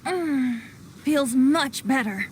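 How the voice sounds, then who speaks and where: High-voiced lyrical young male bard. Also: animated, drawn-out words, a little hiss or hum, exaggerated voice. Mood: calm, musing. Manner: lyrical, archaic, theatrical phrasing. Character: gentle, whimsical young male bard with hidden wisdom. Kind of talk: video game voice acting. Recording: loud background music.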